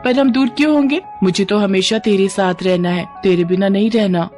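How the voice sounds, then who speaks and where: A woman's voice: moderate pace, faint music behind, natural voice. Woman playing a sweet, eager little girl. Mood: delighted. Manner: bright, high and excited.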